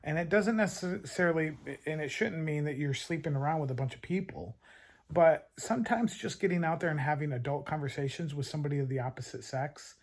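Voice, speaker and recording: A man's voice: on the high side, darkish tone. A man giving dating advice in an earnest, conversational way, often restarting and repeating words. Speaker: dating coach. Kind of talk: advice talk to camera.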